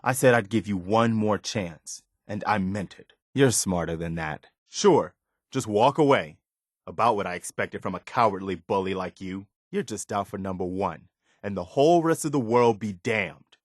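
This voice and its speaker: A man's voice: on the low side, expressive, normal speed, no echo, studio quality. A male actor voicing an earnest, weary, loyal militia soldier in a video game, speaking in a plain-spoken, folksy way. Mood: bitter, reproachful. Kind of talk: dramatic video game dialogue.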